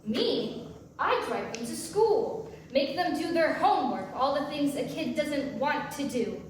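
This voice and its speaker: Actress playing a high voiced mom on a date, teasing and a bit defensive, recorded far off in an echoey room. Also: female voice, animated, a little slow. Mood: guarded. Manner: probing, playfully defensive questioning. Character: chatty divorced mother of two on a date, guarded, teasing. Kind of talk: staged play reading, naturalistic dialogue. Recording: distant mic in roomy echo, hissy noise.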